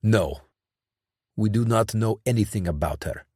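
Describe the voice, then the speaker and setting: A man's voice: lowish voice, a little slow, darkish tone, husky, no echo, clean studio sound. A man voicing a nervous, blustering male hired killer who stumbles defensively through his explanations.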